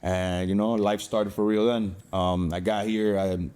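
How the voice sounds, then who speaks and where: A young man from Cuba with a fairly low, very mellow voice. He talks as a podcast guest, casually telling his own story in streetwise slang with plenty of swearing. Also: level tone, unhurried, clean tone.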